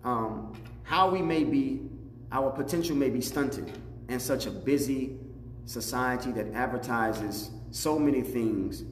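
A middle-aged man, an imam, giving a religious teaching address in a deliberate, explanatory way, repeating phrases for emphasis. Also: medium pitch. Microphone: lapel mic. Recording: echoey room, reverb, faint background noise.

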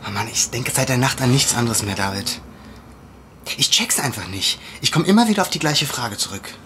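A man's voice: mid-range voice, animated, fairly quick, fairly bright tone, slight background noise, close to an everyday voice. An actor playing a troubled, determined young man with a brooding, insistent way of talking, in an audio drama. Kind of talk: naturalistic audio drama acting.

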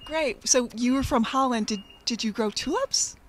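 A woman's voice: a little high, moderate pace, background noise. A woman from upstate New York, an agriculture education coordinator, hosting a farm interview in an upbeat, enthusiastic way. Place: outdoors on a farm, then inside a greenhouse.

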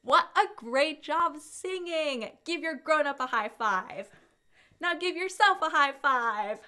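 A young woman hosting a children's storytime, speaking with animated, exaggerated enthusiasm and deliberate enunciation as she teaches. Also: high-pitched. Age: young adult. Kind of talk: children's educational presentation.

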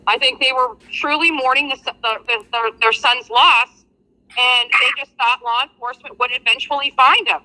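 Woman, high pitch, piercingly bright tone, halting, casual livestream discussion, replayed video call. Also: steady tone, low-quality recording. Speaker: livestream panelist. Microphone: video call replayed from a phone.

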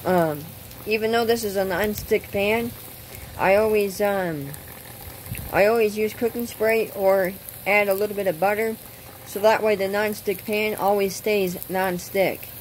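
Woman host, casual cooking demo, unhurried and halting, recorded on a phone by the grill. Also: medium pitch, steady tone, a little hiss or hum, poor audio. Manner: unhurried, hesitant with frequent ums. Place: outdoors at a gas grill.

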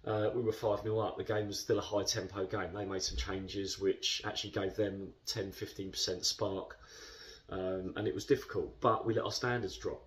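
A man in his thirties speaks in a fairly low voice, talking a post-match interview through in a relaxed, rambling way. He is recorded indoors on a camera set a little back from him. The sound has a slight distance to it. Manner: chatty, measured, lots of fillers. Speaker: football club captain. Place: indoor club room.

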